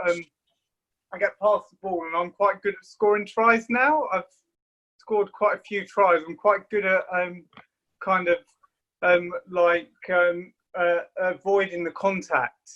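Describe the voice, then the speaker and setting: High-voiced man on a dull line. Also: fairly bright tone. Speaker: rugby flanker. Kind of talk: casual podcast chat.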